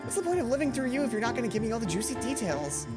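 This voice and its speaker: A woman's voice: on the high side, big pitch swings, rapid-fire, loud music behind the voice, pitched above the performer's own voice. Woman putting on a nosy, teasing student roommate. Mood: whiny, disappointed.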